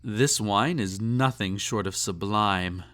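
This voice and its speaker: Slow-talking, snobbish, possessive emperor, acted by a man. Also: on the low side, a bit bright. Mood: smug, possessive. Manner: pompous, condescending, teasing, ornate formal phrasing. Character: snobbish, possessive male emperor, indulgent and slightly tipsy. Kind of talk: intimate roleplay audio drama, second-person monologue.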